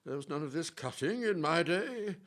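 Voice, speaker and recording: A man's voice: on the high side, expressive, moderate pace, fairly bright tone. A man playing a vain, haughty Elizabethan playwright in a comic audio play, pompous, condescending and easily offended.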